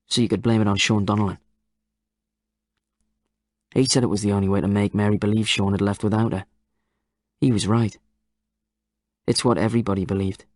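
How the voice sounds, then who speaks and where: A man's voice: lowish voice, darkish tone, no room sound, lightly acted. A man voicing a frail, tearful old man. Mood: resigned. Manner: sobbing confession, pleading.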